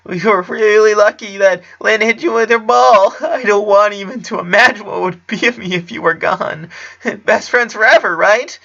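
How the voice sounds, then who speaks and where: A man voicing an anxious young boy, a loyal best friend, with a high, strained voice in a story reading. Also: normal speed, creaky, pushed and bright, dull audio, heavily acted. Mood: relieved.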